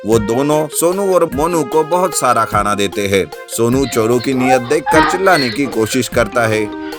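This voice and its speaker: Mid-pitched male storyteller over music. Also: animated, normal speed. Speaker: story narrator. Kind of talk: scripted narration of an animated children's story. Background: background music.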